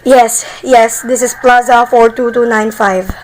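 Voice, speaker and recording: A woman's voice: on the high side, steady tone, a little slow, slight background noise, lightly acted, poor audio. A woman playing a nervous, demanding bedridden wife, irritable and frantic, in an amateur radio play. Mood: shaken. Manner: exasperated, complaining, dramatic.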